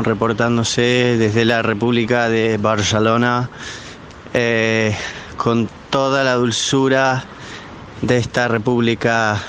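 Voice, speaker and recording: A man leaving a casual recorded voice message, deadpan, with hesitant pauses. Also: medium pitch. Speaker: show's DJ sending an audio message. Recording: voice message with background noise.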